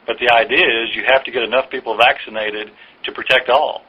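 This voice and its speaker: Male official fielding a phone query, hesitant and patient, middling pitch, dull telephone sound. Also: fairly even intonation, fairly quick, husky. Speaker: official answering a caller's questions. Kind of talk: phone conversation, explanatory. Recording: dull lo-fi recorded phone call.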